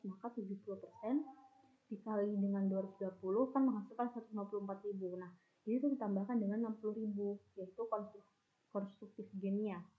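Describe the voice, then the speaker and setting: A young adult woman, explaining material as a student giving a class presentation. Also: medium pitch. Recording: muffled, phone-like narrow band.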